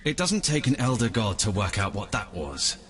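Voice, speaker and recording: Lowish, principled god. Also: male voice, very animated, a bit bright, a little hiss or hum. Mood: reproachful. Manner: measured, formal, reproving. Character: principled, reproachful male god, level-headed. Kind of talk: video game cutscene acting.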